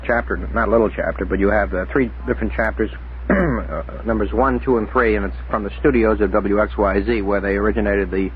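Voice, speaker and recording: A man's voice: on the low side, fairly even intonation, fairly quick, narrow, tinny audio, slight background noise. A man hosting a conversational radio interview, recorded on an old 1960s radio tape. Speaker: radio talk show host. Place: radio studio.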